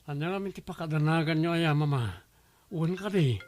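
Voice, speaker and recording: A man's voice: a little high, a little fast, darkish tone, slight background noise, lightly acted. A man playing an evasive, weak-willed young groom in a radio drama. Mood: evasive, irritated.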